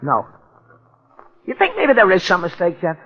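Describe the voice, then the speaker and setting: An actor playing a simple, eager, folksy police sidekick with a gravelly voice and excitable slang, in old-time radio drama. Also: male voice, high-pitched, normal speed, heavily acted. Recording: dull vintage radio recording, faint music.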